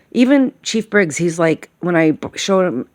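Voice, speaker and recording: A woman's voice: on the low side, expressive, slow pace, no echo, studio quality. A woman in her late fifties rambling through a casual monologue, sighing often and checking in with "okay?" and "all right?". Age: late 50s. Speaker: vlogger.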